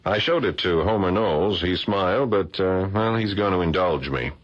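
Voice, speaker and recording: A man's voice: sits low, expressive, moderate pace. A man playing a puzzled, insistent art professor in a vintage radio drama. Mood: puzzled, earnest. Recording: dull, lo-fi old radio recording.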